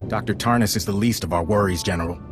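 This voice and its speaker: An actor playing a stern military general in a video game, briefing in a grave, commanding manner. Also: male voice, lowish voice, quick pace, lightly acted. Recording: music playing behind.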